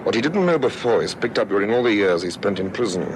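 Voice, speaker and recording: Actor as a low-voiced South African police detective, noisy recording. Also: male voice, expressive, close to an everyday voice. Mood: thoughtful. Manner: brisk, questioning, dry. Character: dogged, sceptical male police detective lieutenant. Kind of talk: vintage radio police drama acting. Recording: noisy vintage radio drama recording.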